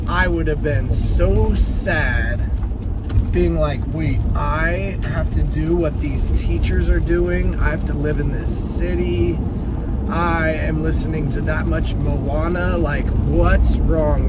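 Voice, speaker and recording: A man in his late thirties, a teacher, talking earnestly and rambling a little in a casual motivational vlog from his car. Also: a little high. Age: late 30s. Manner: rambling, earnest, self-interrupting. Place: car while driving. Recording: car noise in the background. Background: traffic noise.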